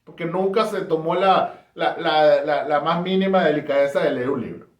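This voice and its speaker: A man's voice: fairly high pitch, moderate pace, lo-fi sound. A man from Caracas with a Venezuelan accent, giving an emphatic, rhetorical talk on history. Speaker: history presenter. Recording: roomy echo.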